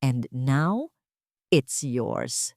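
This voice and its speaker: A woman's voice: extremely low pitch, very animated, extremely slow, a little mellow, no echo, clean studio sound, pitched below the performer's own voice, heavily acted. A woman voicing a poised, rich fiancée in an audio drama, speaking dramatically with English phrases mixed in. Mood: poised, sweet. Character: poised rich fiancée, falsely sweet, theatrically distraught.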